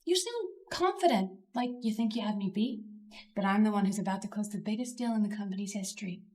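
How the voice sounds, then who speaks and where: A woman's voice: mid-range voice, expressive, quick pace, a little mellow, natural voice. An actress playing a young heiress who spars with her brother and pleads with her father. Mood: scornful. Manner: defiant, then pleading and begging. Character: ambitious young heiress daughter in a family firm. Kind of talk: melodrama acting.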